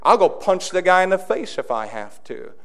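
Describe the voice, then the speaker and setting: A man pastor preaching an impassioned sermon, swinging from quiet, intimate passages to loud, shouted declarations. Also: high voice, sing-song, normal speed. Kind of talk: fiery sermon.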